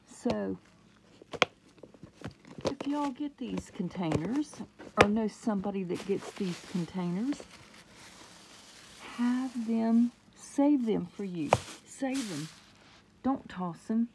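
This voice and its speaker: A woman chatting excitedly about her seedlings, sometimes dropping to a murmur, recorded on a handheld phone indoors. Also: mid-range voice, takes it slowly, vocal fry, long pauses, very noisy. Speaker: gardening vlogger. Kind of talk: casual home gardening vlog. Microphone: phone camera held close.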